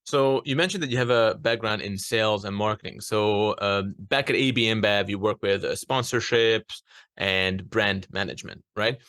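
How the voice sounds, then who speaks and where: A man's voice: on the low side, normal speed, fairly bright tone, dry recording, clean studio sound. A man from Hungary hosting a casual interview podcast, speaking with frequent hesitations and fillers. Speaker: podcast host.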